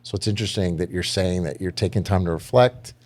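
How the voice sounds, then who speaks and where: A man's voice: low-pitched, fast talker, a little mellow. A man hosting a podcast interview, chatty and affirming, asking long rambling questions. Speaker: podcast host. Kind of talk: casual conversational interview.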